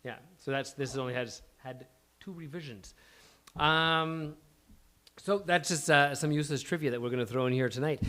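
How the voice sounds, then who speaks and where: A man's voice: a little high, moderate pace, a bit bright. A man hosting a live stage event with casual, chatty banter, explaining things in a loose, rambling way. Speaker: host of a live table-read show. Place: small live venue, on stage.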